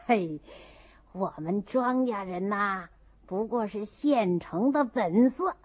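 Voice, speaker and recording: A woman's voice: medium pitch, expressive, slow pace, husky. A woman voicing a good-humoured, humble old country granny, hesitant and folksy, in a classic novel reading. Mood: humble, jovial. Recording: dull, muffled audio.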